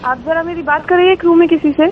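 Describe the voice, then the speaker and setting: A woman's voice: very high voice, fast talker, a little hiss or hum. A woman talking in a phone conversation, heard over a dull telephone line. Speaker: prank call recipient.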